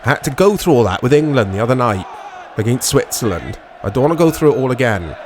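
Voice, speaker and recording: A man giving casual commentary on a football video game in short reactive fragments, his voice strained and a little hoarse. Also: medium pitch, sing-song, quick pace, a faint crowd, slight background noise. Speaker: gaming channel host.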